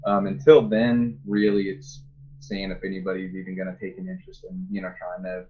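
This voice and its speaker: A man's voice: low-pitched, monotone, fairly quick. A man talking casually and conversationally as a game developer being interviewed. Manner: informal, conversational. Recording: dull lo-fi voice with faint noise.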